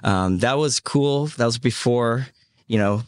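A man in his thirties who grew up in Hong Kong, a podcast guest telling his life story in a casual, halting way, full of "um" and "you know". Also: mid-range voice, clean tone, dry recording. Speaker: podcast guest, media entrepreneur.

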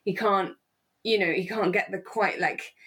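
A woman's voice: mid-range voice, steady tone, normal speed, fairly bright tone. A woman co-hosting a podcast in casual, reflective conversation, thinking aloud hesitantly and often repeating phrases as she goes. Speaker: podcast co-host.

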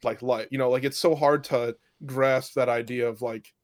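A man's voice: medium pitch, steady tone, normal speed. A young man in a casual podcast chat, musing at length and leaning on many fillers. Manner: rambling, reflective, many fillers. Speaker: podcast co-host.

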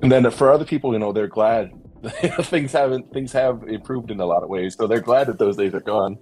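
A man's voice: lowish voice, brisk, rough recording. A middle-aged man talking in a thoughtful, tentative way as a panelist in a casual, reflective discussion. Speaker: podcast panelist. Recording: faint music underneath. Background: soft background music.

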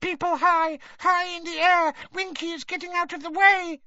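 A man voicing a frantic little female house elf who squeaks distractedly and speaks in broken grammar. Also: flat delivery, moderate pace, bright, forceful tone, dry recording, lo-fi sound, exaggerated voice. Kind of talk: audiobook character voice.

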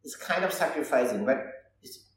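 A man who is a Buddhist monk answering interview questions in halting non-native English, often adding "you know.". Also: mid-range voice, animated. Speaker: Buddhist monk and meditation teacher. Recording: roomy echo, far from the mic, faint ambience.

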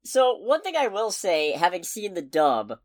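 A woman's voice: fairly low pitch, a little slow, no room sound. A woman co-hosting a podcast, chatting casually about a film with wry, profane humor. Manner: wry, profane, lively storyteller. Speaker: podcast co-host. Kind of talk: casual movie review chat.

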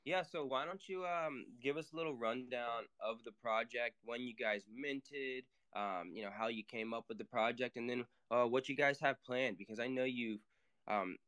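A man's voice: mid-range voice, moderate pace, a bit bright, smooth. A man co-hosting a casual online audio chat, enthusiastic and asking long, rambling questions. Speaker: co-host.

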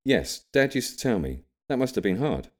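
A man acts a curious young student grandson in an audiobook. His pitch is raised above the narration, and his delivery is casual, eager and slightly hesitant. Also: sing-song, moderate pace. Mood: sympathetic, attentive. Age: young adult. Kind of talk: audiobook character dialogue.

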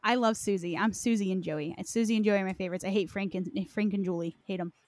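A woman's voice: medium pitch, steady tone, fast, darkish tone, dry recording. A woman hosting a podcast, moving between dramatic story reading and casual, playful chat. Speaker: podcast host. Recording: clearly noisy recording with clicks.

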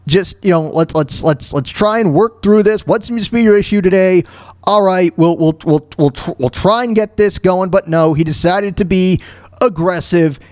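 A man hosting a podcast, ranting in a halting, repetitive, exasperated way with casual, opinionated talk. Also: high-pitched, a little mellow, no room sound, clean studio sound. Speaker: podcast host.

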